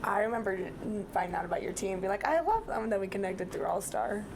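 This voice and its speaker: A woman's voice: mid-range voice, animated, quick pace, creaky, background noise. A young woman chatting casually in a group interview as a college cheerleader. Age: young adult. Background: music.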